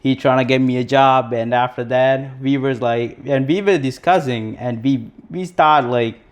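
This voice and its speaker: A man's voice: medium pitch, moderate pace. A young man from Sri Lanka co-hosting a live podcast in a casual, rambling way, full of restarts and fillers. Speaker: podcast co-host.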